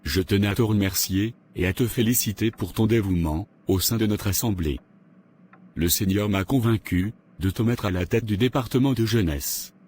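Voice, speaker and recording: A man playing a kindly, formal pastor in an animated moral drama. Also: on the low side, normal speed, a little hiss or hum, natural voice. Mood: approving, solemn. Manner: courteous, formal. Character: kindly, formal church pastor praising a member.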